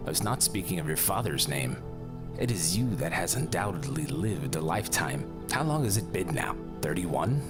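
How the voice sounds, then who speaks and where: A man's voice: big pitch swings, moderate pace. An actor playing a vain, courtly young lord in his thirties, florid and theatrical, turning bitter and brooding. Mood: awestruck. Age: 30s. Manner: florid, swooping and courtly, sinking low and brooding when upset. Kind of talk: audio drama acting. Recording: music bed under the voice.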